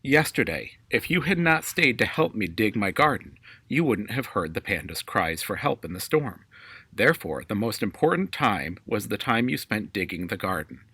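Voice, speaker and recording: A man as a wise, measured old turtle. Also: lowish voice, big pitch swings, sharp tone, husky, no echo, full character voice. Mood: wise, calm. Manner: measured, explanatory. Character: wise old male turtle, patient and gentle.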